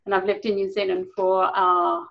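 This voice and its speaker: A woman's voice: mid-range voice, little pitch movement, moderate pace, muffled recording. A woman from England with an English accent, hosting an interview and talking about spirituality over a video call. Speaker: interview host. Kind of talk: casual interview chat.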